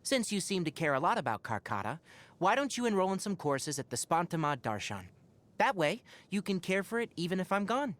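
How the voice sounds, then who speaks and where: An actor playing a young fox-eared forest ranger in a video game, scholarly and patient, speaking in an articulate, instructive and gently wry way. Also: male voice, high-pitched, big pitch swings, a little fast. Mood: composed, instructive. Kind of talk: scripted game character voice lines.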